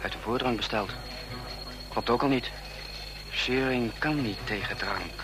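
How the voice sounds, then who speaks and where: An actor playing a suspicious, watchful secret agent in a radio play, making terse observations. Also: male voice, medium pitch, a little slow, natural voice, low-quality recording.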